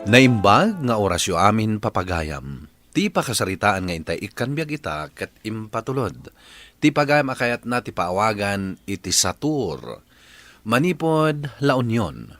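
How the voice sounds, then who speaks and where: A man's voice: on the low side, very animated, unhurried, a bit bright, no echo, faint music behind. A man hosting an Ilocano radio drama, reading his scripted introduction in a dramatic announcing manner. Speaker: radio drama host narrator.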